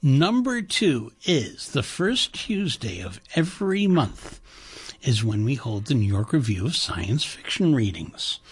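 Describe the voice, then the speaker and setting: A husky-voiced man hosts a radio show from the studio. He talks in a chatty, rambling and enthusiastic way, with a pitch that sits in the middle of the range. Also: big pitch swings, a little slow. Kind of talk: casual on-air radio chat with a pledge-drive pitch.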